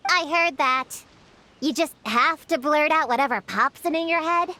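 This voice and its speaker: A woman's voice: high-pitched, unhurried, sharp tone, slight background noise. A woman voicing a precocious, knowing child in a video game, articulate and matter-of-fact. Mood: knowing, dry.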